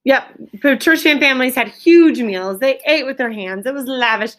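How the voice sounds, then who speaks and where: A woman with a high voice works as an elementary school teacher leading a lesson. She puts questions to her pupils in a directive way. She repeats their answers back to confirm them. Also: normal speed, fairly bright tone. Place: classroom with pupils.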